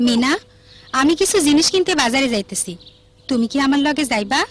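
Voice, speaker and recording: A woman's voice: high voice, lively intonation, brisk. A woman playing a caring, watchful village mother in a cartoon, with a Bangladeshi accent. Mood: gentle.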